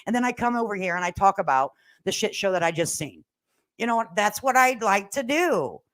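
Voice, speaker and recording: A woman's voice: mid-range voice, lively intonation, a little fast. Older female livestreamer, folksy and plain-spoken, slangy casual commentary with a sassy streak, mid-range voice. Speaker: livestream host. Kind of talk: casual livestream commentary chat, reading viewer comments aloud. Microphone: standalone microphone at a laptop.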